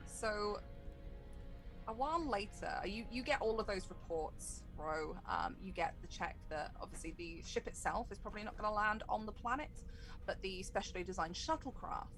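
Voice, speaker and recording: A woman's voice: mid-range voice, animated, normal speed, fairly bright tone, faint music behind, rough recording. A woman acting as game master, improvising descriptive narration for a tabletop roleplaying game.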